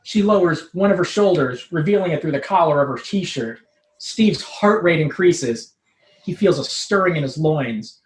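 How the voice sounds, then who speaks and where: A woman's voice: deep voice, animated, moderate pace. A woman reading a scripted comic story aloud as narrator. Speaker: troupe member reading own comic story. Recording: roomy, echoing live room.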